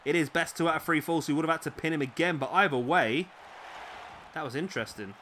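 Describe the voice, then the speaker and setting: A man with a slightly raspy voice, commentating a wrestling video game play-by-play, excitable at big moves and chatty in asides. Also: on the high side, fast, noisy background.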